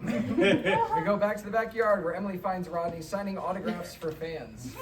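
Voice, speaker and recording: Woman narrator, echoey room, loud crowd. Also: mid-range voice, lively intonation, normal speed. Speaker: stage directions narrator. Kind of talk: scripted narration at a live comedy table read. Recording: echoey distant mic, loud audience.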